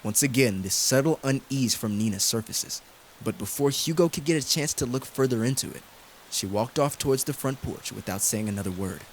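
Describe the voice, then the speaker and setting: A male streamer with a mid-pitched voice narrates a visual novel and chats casually between passages. His voice is slightly worn, and there is clear noise with clicks behind him. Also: expressive, brisk, rough recording. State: voice tiring. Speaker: video game streamer reading a visual novel aloud. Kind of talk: dramatic narration mixed with casual commentary.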